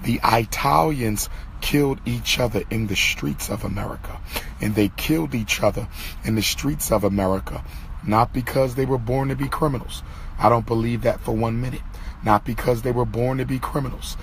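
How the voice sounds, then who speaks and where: A hoarse man giving an impassioned monologue to his followers, stressing and repeating his key phrases. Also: mid-range voice, moderate pace, slight background noise. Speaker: lecturer.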